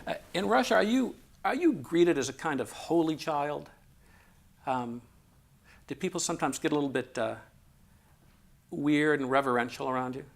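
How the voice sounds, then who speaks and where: Gravelly-voiced man hosting an interview. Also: on the high side, animated, moderate pace, pauses often. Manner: hesitant, frequent ums and restarts. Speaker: interviewer and host. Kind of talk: relaxed conversational interview.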